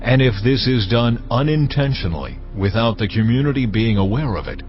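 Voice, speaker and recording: A man playing God in a dramatised scripture reading, solemn, commanding and measured as he gives laws. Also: lowish voice, lightly acted. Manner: measured, authoritative, deliberate. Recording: slightly dull audio with music behind. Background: background music.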